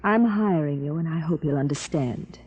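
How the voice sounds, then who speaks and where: A woman's voice: low voice, moderate pace, darkish tone, breathy edge, highs cut off. An actress playing a composed, polite wife of a wealthy man, in restrained 1940s radio drama style. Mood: polite, concerned.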